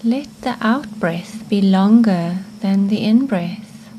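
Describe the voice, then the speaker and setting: A woman leads a guided meditation at an extremely slow pace. Her voice is soft and mellow, with breathy, near-whispered endings to her phrases. Faint ambient noise sits behind her gentle, scripted narration. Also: medium pitch, low-quality recording.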